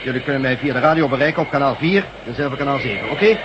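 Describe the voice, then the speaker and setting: A man playing a gruff, domineering camp chief in a radio drama, speaking in a commanding way. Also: medium pitch, natural voice. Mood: brisk, grim. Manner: commanding, brisk. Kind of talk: Dutch radio drama acting. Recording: dull, very noisy old radio recording.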